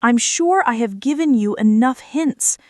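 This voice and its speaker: A woman's voice: fairly high pitch, lively intonation, measured pace, a little mellow, dry recording, clean studio sound. A woman voicing a sly, brusque ship's cat who gives bossy instructions in a children's storybook. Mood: brisk, scolding.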